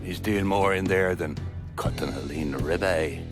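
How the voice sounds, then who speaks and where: An actor playing a bitter, foul-mouthed older Irish gangster in a video game, profane and belligerent. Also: male voice, low voice, unhurried, over music. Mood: vengeful. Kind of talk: video game cutscene acting.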